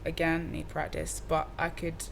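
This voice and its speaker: Girl in her twenties-ish chatting, kinda low voice, lots of ums and restarts. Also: normal speed, vocal fry, a little hiss or hum. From Cardiff, Wales. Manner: relaxed, slangy, many fillers and restarts. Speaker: musician guest. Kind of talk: casual interview chat.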